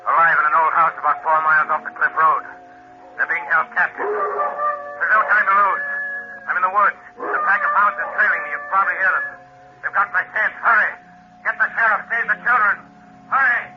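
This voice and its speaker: A man's voice: high voice, expressive, fairly quick, pauses often. An actor playing an urgent masked male hero in a 1940s radio drama, pleading for help while being chased. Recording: dull lo-fi old radio recording with music.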